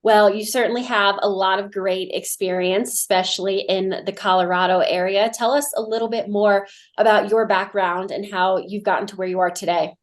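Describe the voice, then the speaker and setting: A woman's voice: medium pitch, steady tone, moderate pace. A woman with an American accent hosting an upbeat promotional interview for a sports team.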